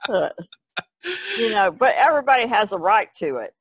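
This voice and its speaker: A woman talks casually as a podcast co-host, in a colloquial, plain-spoken way. Her voice sits at an average pitch. The sound is dry, with hardly any room. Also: big pitch swings, normal speed, husky, no room sound, rough recording. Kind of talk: casual conversational discussion.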